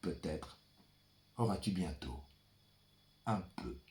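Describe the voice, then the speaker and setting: A man performing a theatrical monologue as a brooding son, the ferryman of the dead, addressing his father in a deliberate, dramatic, incantatory manner. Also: low voice, expressive, darkish tone, heavily acted. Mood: hushed, solemn. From France. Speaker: stage performer.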